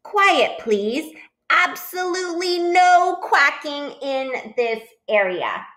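Woman as an earnest, stubborn storybook goose, lively pitch. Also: slow, full character voice. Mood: stubborn, defensive. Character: earnest, stubborn goose, a slightly slow-thinking storybook bird.

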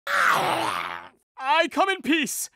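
A man playing a panicky, theatrical young villain with whiny, pleading delivery in an animated series. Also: extremely high pitch, very slow, dry recording, studio quality, full character voice. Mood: frantic pleading.

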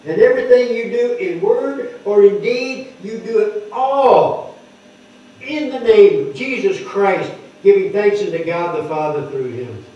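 An older man preaching at a lectern, explaining scripture word by word and stressing key words loudly. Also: sits high, a little slow, far from the mic. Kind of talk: sermon teaching scripture. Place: wood-paneled church hall, speaking at a lectern. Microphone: microphone on the lectern, heard from a distant camera. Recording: echoey hall heard from afar, dull.

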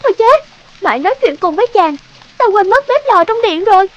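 A woman voicing a gentle, timid young princess in a cartoon fairy tale, polite and hesitant. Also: very high-pitched, animated, brisk, lo-fi sound. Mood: anxious, apologetic.

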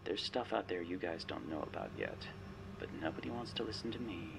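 Man playing a deep, gravelly, smug machine mastermind. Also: sing-song, fairly quick, heavy background noise, full character voice. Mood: playful, boastful. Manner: wry, teasing, theatrical, often dropping to a whisper. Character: smug, menacing, playful artificial intelligence guiding a spy. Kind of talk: ASMR roleplay monologue.

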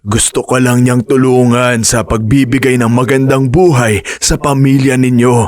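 A man's voice: mid-range voice, steady tone, moderate pace, a little mellow, no room sound, studio quality, lightly acted. A man playing an older father who scolds his grown son in a radio drama. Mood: scolding. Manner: stern fatherly advice. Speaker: voice actor.

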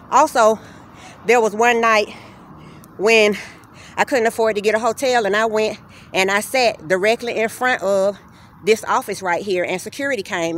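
Woman vlogging while walking outdoors. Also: medium pitch. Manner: earnest, steady, recounting grievances. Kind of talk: walking tour vlog, personal account. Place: outdoors on city streets. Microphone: phone camera held while walking. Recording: faint street noise outdoors.

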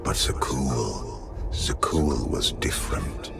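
Abyssal gravelly ancient emperor's spirit. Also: male voice, very deep voice, animated, exaggerated voice. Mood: imperious, reflective. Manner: solemn, measured, grandiose. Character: ancient fallen emperor's spirit, proud, patient, imperious. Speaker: villain in a video game. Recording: loud music behind the voice.

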